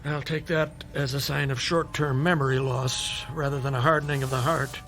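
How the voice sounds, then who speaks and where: An actor playing a long-suffering older doctor in a radio drama, dry, eloquent and sardonic. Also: male voice, mid-range voice, fairly even intonation, normal speed, a bit bright, soft background music, close to an everyday voice. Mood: sardonic, patient.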